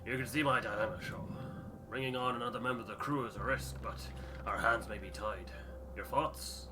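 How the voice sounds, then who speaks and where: A man's voice: mid-range voice, fairly even intonation, brisk, over music, natural voice. A man voicing a stern, shrewd expedition ship captain with a clipped, commanding, dry manner in a story game. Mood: measured, shrewd.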